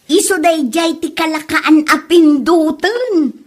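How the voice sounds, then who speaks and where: A woman's voice: extremely high pitch, slow pace. A woman acting a clueless, defensive content creator in an amateur comedy drama. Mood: defensive, puzzled. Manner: defensive, offhand.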